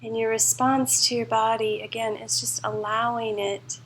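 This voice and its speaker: A woman's voice: fairly high pitch, level tone, a little hiss or hum, rough recording. Soothing woman guiding a meditation. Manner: soothing, unhurried, reassuring. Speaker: meditation and yoga teacher. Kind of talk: guided meditation, spoken live to a class.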